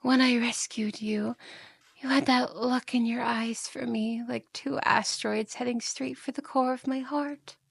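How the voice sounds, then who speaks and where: A woman's voice: on the high side, fairly even intonation, measured pace, gravelly, breathy edge, no echo, exaggerated voice. A woman playing a seductive, mocking yandere demon in an ASMR roleplay, coaxing and theatrical, often slipping into whispers.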